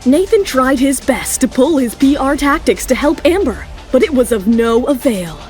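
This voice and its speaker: A woman with a high voice tells a romance audio serial as its narrator. Her delivery is scripted and dramatic, with music running behind her. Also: expressive, moderate pace, darkish tone. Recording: music bed under the voice.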